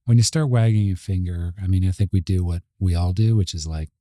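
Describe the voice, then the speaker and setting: Low-pitched, mellow Canadian man. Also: a little fast, dry recording, studio quality. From Montreal, Canada. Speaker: advertising creative executive, interview guest. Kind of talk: casual conversational interview.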